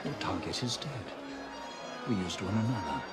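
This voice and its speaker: A man's voice: deep voice, expressive, normal speed. An actor playing a sly, theatrical thief king who drawls and mocks, in a game cutscene. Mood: sardonic. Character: sly, theatrical middle-aged man, king of thieves. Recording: music underneath.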